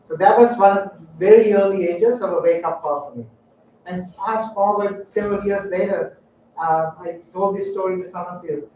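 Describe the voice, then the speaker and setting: A middle-aged man from Sri Lanka telling personal stories in a public talk, halting and reflective with frequent fillers. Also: high voice, warm tone, slight background noise. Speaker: guest speaker. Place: lecture room. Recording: echoey room, distant mic.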